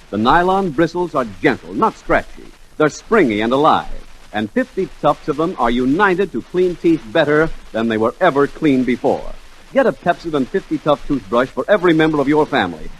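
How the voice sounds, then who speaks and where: A man delivering a 1940s radio commercial as announcer, with emphatic, playful salesmanship. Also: a little high. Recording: faintly noisy 1940s radio recording.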